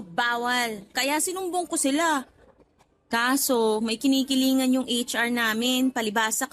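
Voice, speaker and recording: High-voiced bubbly young office woman, acted by a woman. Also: close to an everyday voice. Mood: aggrieved. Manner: playful, expressive, exclaiming. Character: bubbly, chatty young female office trainee, friendly and forward. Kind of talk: Tagalog radio drama acting.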